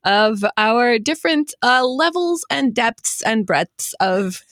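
A woman's voice: on the high side, lively intonation, slow, a bit bright, dry recording, studio quality. A woman podcast host chatting casually and wrapping up the show in a playful, informal manner. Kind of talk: casual chat and show wrap-up.

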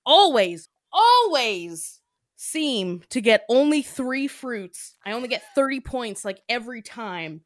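A woman podcast host chatting casually, explaining things in an animated, giggly way. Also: fairly high pitch, slow pace, no echo, clean studio sound. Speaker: podcast host and sketch creator. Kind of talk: casual chat.

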